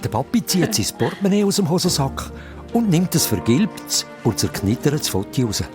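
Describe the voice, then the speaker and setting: A man's voice: on the high side, big pitch swings, moderate pace, music in the background. A man with a Swiss accent narrating a children's radio play as a scripted story.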